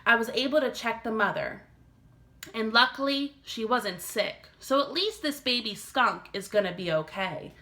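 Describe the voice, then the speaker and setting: A woman voicing a gentle but firm mother who explains things in a storybook read-aloud. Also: fairly high pitch, lively intonation, normal speed, pitched above the performer's own voice. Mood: explaining.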